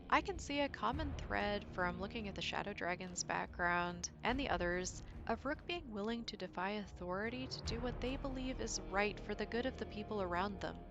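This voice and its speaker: A woman presents scripted video game lore as the host of her own video. Her voice sits at a middling pitch for a woman. Music plays behind her narration.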